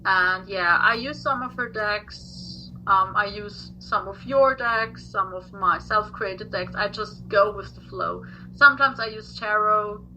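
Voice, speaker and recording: A woman's voice: mid-range voice, a little slow, hardly any pauses, pushed and bright, a little hiss or hum, poor audio. A woman from Austria, a native German speaker who speaks English with an Austrian accent, chatting casually as a podcast guest. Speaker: guest, tarot reader and English teacher.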